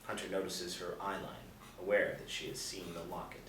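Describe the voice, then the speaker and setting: A man's voice: low-pitched, fairly even intonation, moderate pace, a bit bright. A man reading stage directions as scripted narration at a table reading. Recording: roomy, distant mic, faint noise.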